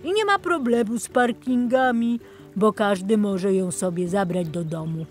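A woman's voice: medium pitch, a little slow, faint music behind, close to an everyday voice. A woman voicing a jolly, absent-minded older male tour guide in a children's storybook, rambling and enthusiastic. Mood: proud, enthusiastic.

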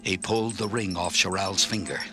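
A man narrating a children's story in an expressive, clearly enunciated read-along style. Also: sits low, a little fast, over music. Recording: orchestral film score under narration.

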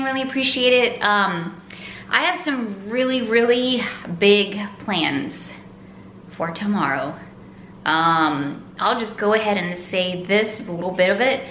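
Bright, upbeat young American woman vlogging. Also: mid-range voice. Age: young adult. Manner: upbeat, enthusiastic. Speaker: vlog host. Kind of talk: casual chat to viewers. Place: indoors at home, open room with kitchen. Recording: echoey room, faint background noise.

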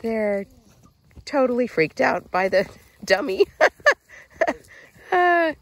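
A woman chats and laughs while filming outside on her family farm, now and then turning to explain things to the camera. Her voice has a gravelly edge, and a faint hum of outdoor noise sits behind it. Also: a little high, slow. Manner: relaxed, laughs often, narrates for viewers. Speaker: farm vlogger. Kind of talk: casual family chat.

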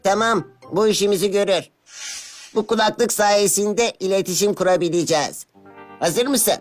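An actor playing an eager, proud young boy inventor in an animated children's cartoon. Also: medium pitch, normal speed, soft background music, natural voice.

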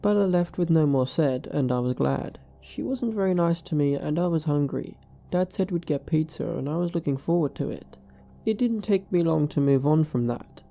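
A woman reading a scripted first-person horror story in a measured storytelling manner. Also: low-pitched, animated, gravelly, mellow.

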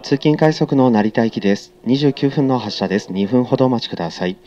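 A man's voice: medium pitch, lively intonation, a little fast, warm tone. A man working as a train conductor, making polite, formal, routine announcements over the onboard PA. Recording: dull, muffled train PA.

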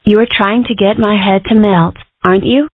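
A woman voicing an excitable, guilt-ridden young girl fox in a cartoon comic dub. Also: mid-range voice, moderate pace, no echo, lightly acted. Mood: anxious. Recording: thin, band-limited, phone-like sound.

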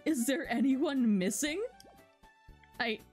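High-voiced girl streamer, mock dramatic, unhurried, game music in the back. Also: a little mellow. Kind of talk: playful live game commentary, reading dialogue aloud.

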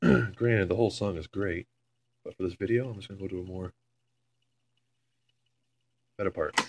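A man's voice: low voice, moderate pace. A man chatting casually and rambling, with swearing, in a homemade vlog recorded on a phone in a carpeted room. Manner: foul-mouthed, rambling. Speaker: amateur video maker. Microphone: handheld phone camera. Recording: home phone recording with loud hum.

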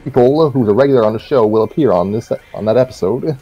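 A man co-hosting a show in a deadpan manner, mixing scripted announcements with casual chat. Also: mid-range voice, quick pace, muffled recording, music in the background. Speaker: co-host of a story-reading show.

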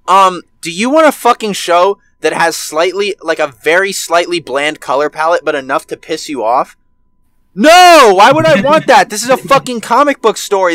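Young man, 19, heated profane ranter, a bit high-pitched, webcam audio from a bedroom. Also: sharp tone, no room sound. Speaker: podcast co-host. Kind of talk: casual chat.

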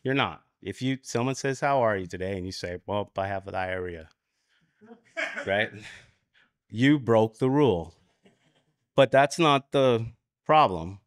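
A man with an American accent giving an informal, explanatory conference talk, halting as he searches for words and often checking in with "right?". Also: medium pitch, lively intonation, no echo, studio quality. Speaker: conference speaker. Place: conference room at a lectern.

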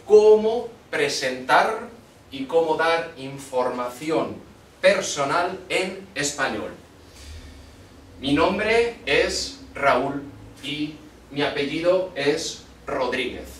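A man in his thirties from Spain, teaching a language class with slow, clear instructions in a small classroom. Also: a little hiss or hum. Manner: slow and deliberate, lively questioning pitch. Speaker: Spanish teacher. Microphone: far camera. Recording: echoey classroom from far off.

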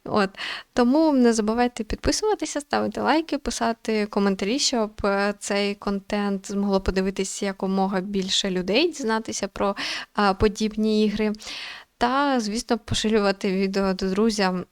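A woman's voice: medium pitch, fairly even intonation, normal speed, clean tone, no echo. A young adult woman giving casual let's-play commentary as a gaming host, thinking aloud in an informal, hesitant way.

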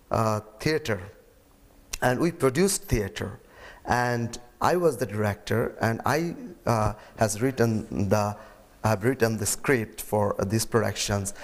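Middle-aged man, halting speech. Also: fairly low pitch. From Bangladesh. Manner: halting, with fillers and grammar slips. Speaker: interviewee, mime artist. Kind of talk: interview answers. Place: indoor room.

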